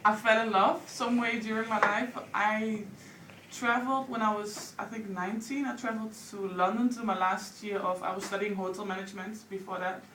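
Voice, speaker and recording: A woman's voice: medium pitch, fairly even intonation, fairly bright tone, reverberant, recorded from a distance, slight background noise, rough recording. A woman in her thirties with a Dutch accent, a guest on a radio interview, talking in a chatty way and saying "like" often. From Netherlands. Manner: chatty, quick, uses "like" often. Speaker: guest, anthropologist.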